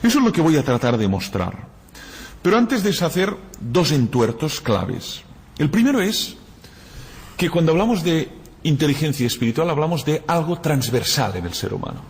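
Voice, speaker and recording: Catalan-native male lecturer, husky timbre, reflective explainer, reverberant hall sound. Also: medium pitch. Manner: reflective, explains with analogies and rhetorical "¿no?". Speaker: guest lecturer. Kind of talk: university lecture.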